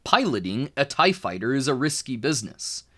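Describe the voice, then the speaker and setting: A man with a mid-pitched voice reads aloud in an educational style, like a tutor working through a children's book. His intonation is very animated, rising and falling widely. He phrases things deliberately and leans on the key words. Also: breathy edge. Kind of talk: expressive educational read-aloud narration.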